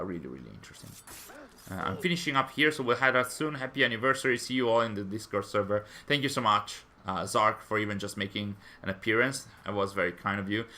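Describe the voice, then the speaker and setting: A man's voice: lowish voice, bright, forceful tone. A man hosting a fan live stream, chatting in a rambling, hesitant, conversational way and answering viewers. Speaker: fan channel stream host. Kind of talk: live stream chat, reading viewer comments.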